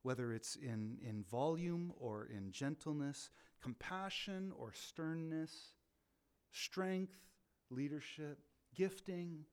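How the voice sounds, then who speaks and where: A man who leads a ministry, talking about faith in a loud, animated way, often repeating words as he restarts. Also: fairly high pitch, takes it slowly, clear, resonant tone. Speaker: ministry leader. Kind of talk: casual faith talk.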